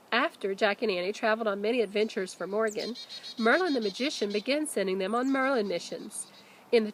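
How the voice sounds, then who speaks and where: A woman, a teacher reading a children's book aloud expressively, with deliberate emphasis. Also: mid-range voice, a little hiss or hum. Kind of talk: children's storybook read-aloud.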